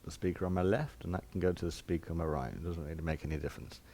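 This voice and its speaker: A man's voice: deep voice, racing, mellow. A man giving an informal hands-on demonstration as a shop presenter, explaining step by step in plain, casual words.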